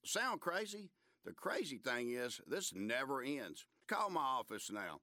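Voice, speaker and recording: A man delivering a scripted radio ad pitch as a company's spokesman. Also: sing-song, measured pace, bright, forceful tone, no echo, clean studio sound.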